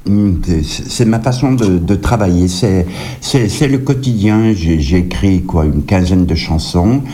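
A deep, dark-toned middle-aged man from Kabylie, talking as a songwriter guest in an interview. He tells his stories at an easy pace, stumbling and repeating words before he finds his phrasing. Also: expressive, measured pace. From Kabylie, Algeria. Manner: hesitant, repeats words and restarts. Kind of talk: relaxed interview answers, anecdotal.

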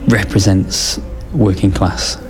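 A man's voice: deep voice, steady tone, slow. Man, hesitant. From Manchester area, England. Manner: hesitant, with fillers and repeated words. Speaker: interviewee. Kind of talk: casual interview talk.

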